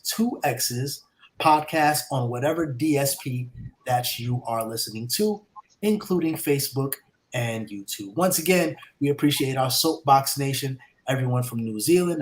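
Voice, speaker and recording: A man's voice: mid-range voice, poor audio. A male podcast co-host with a mid-range voice, reading out where to find the show and thanking listeners. His delivery is upbeat and announcer-like.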